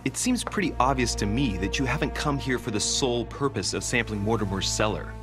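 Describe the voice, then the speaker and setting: An actor playing a persistent, earnest young investigator from a secret society in a video game, coaxing and insistent. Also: male voice, mid-range voice, lively intonation, fairly quick, natural voice. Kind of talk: dramatic video game dialogue. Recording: music under the voice.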